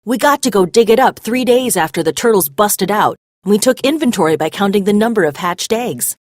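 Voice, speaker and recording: A woman reading the storybook part of a friendly, enthusiastic high school boy in an upbeat manner. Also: lively intonation, fairly quick, no room sound, clean studio sound, close to an everyday voice. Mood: eager. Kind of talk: read-aloud storybook dialogue.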